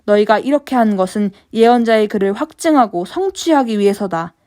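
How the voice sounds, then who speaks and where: A woman reading the words of Jesus in a Bible passage, in her own voice, calm and measured. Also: medium pitch, natural voice. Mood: grave. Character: Jesus, calm grown man, grave and firm. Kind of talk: scripture reading.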